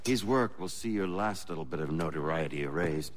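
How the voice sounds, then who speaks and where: Low-voiced, wry colonial conspirator. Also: male voice, expressive, brisk, noisy background. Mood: earnest, grateful. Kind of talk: video game voice acting.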